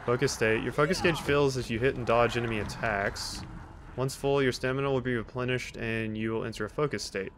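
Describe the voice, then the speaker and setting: A man with a mid-pitched voice gives casual commentary as a let's play host. He is wry and teasing, often talking back to the game's characters. Faint game noise sits behind him. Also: steady tone, a little fast. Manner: reads on-screen text aloud. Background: video game sounds.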